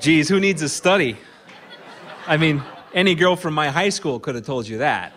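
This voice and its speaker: A man's voice: on the high side, normal speed, fairly bright tone, slight background noise. A middle-aged man with a Minnesota accent, hosting a live radio show from a barn with a dry, wry comic monologue. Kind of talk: humorous monologue to a live audience.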